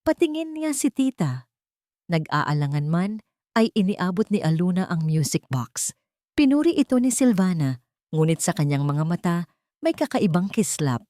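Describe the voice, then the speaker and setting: A woman narrates a romance tale with measured drama. Her voice sits a little low and has a soft, mellow tone. The recording is dry and close, with very little room sound. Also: animated, no echo. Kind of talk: scripted romance story narration.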